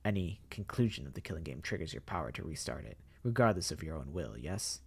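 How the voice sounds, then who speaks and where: A man voicing a polite, formal zombie mastermind who speaks in a slow, measured and softly menacing way. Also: rumbling low, expressive, creaky, breathy edge, voice pushed down, heavily acted. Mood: calm, courteous. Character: courtly, eerily polite male zombie mastermind.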